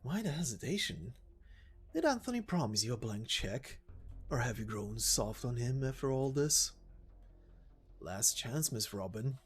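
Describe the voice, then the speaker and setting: A sadistic gentleman villain from a game story, acted out by a male narrator. He speaks with theatrical courtesy and savors his words, his pitch leaping and dropping freely. Faint music plays underneath. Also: big pitch swings. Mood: coaxing menace. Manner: mock-polite, drawn-out, savoring words. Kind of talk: dramatic game story voice acting.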